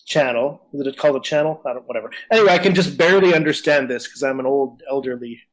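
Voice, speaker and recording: A man's voice: mid-range voice, fast talker, poor audio. A man co-hosting a podcast in casual chat, wandering and hesitant, with a self-deprecating manner. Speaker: podcast co-host.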